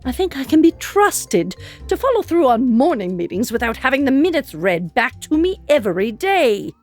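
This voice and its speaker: A woman's voice: high voice, big pitch swings, moderate pace, faint music behind. A woman playing an ambitious, impatient immortal in a comedic audio drama, with a haughty, exasperated, articulate manner.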